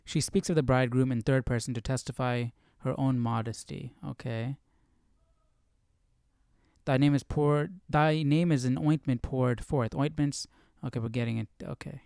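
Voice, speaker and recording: A man hosting a live Bible study, reading and commenting casually in a musing, questioning, halting way. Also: mid-range voice, creaky, darkish tone, clean tone, no room sound, clean studio sound.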